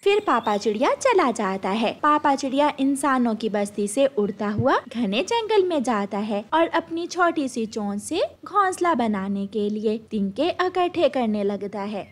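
A woman narrates a children's story in a high voice. Her pitch moves a great deal, giving the scripted storytelling an animated, expressive shape. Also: measured pace, hardly any pauses.

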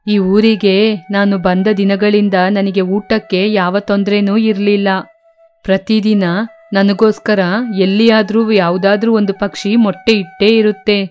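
A woman voicing a cruel, greedy snake in a children's cartoon, speaking with slow, self-satisfied menace. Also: medium pitch, flat delivery, darkish tone, faint music behind, close to an everyday voice. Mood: sly menace. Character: cruel, greedy egg-stealing snake, slyly scheming.

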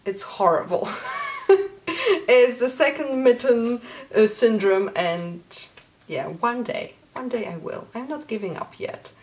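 Slow-talking Polish-born woman. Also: fairly high pitch, a little mellow. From Poland. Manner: chatty, with many fillers and small laughs. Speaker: knitting podcast host. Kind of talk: casual chat to camera. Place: small home craft room with bookshelves, indoors.

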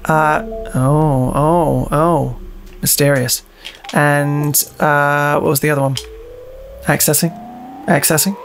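A man's voice: a little high, flat delivery, slow, faint music behind. A man playing a video game, commenting casually and reading notes aloud, often hesitating and repeating himself. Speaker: let's play host and player.

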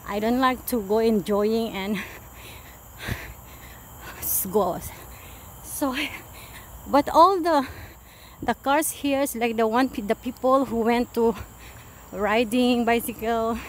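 Filipino lady vlogging a hike, halting casual chat thinking out loud, tagalog native, insects and outdoor noise behind. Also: a little high. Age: middle-aged. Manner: halting, musing aloud, switching between English and Tagalog. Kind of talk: casual hiking vlog chat. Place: outdoors on forest trail and roadside. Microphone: handheld phone at arm's length.

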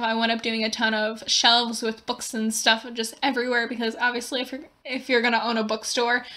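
Young woman, bright high-ish voice, hoarse, rambling casual game voice-over. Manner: rambling, giggly, lots of fillers. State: voice going hoarse. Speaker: gaming video creator.

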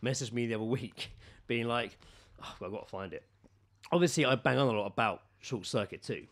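A man co-hosting a podcast with casual comic banter, telling stories in an animated way and acting out quotes. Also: medium pitch, fairly quick. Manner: animated storytelling, acts out quotes in raised voice. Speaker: podcast co-host.

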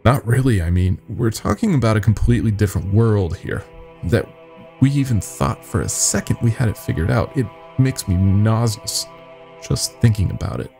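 A man voicing a nervous young company worker confessing secrets in a halting, grave horror-story telling. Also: lowish voice, animated, vocal fry, mellow, soft background music. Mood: regretful.

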